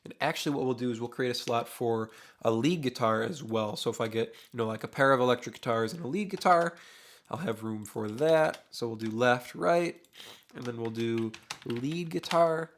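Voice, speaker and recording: A man's voice: mid-range voice, normal speed. A young man, a mixing engineer, talking through a studio tutorial in a casual, thinking-aloud way, his voice a little worn. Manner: relaxed, thinking aloud with many uhs while working. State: losing his voice. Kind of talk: casual screen-recorded tutorial. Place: home studio with drums behind.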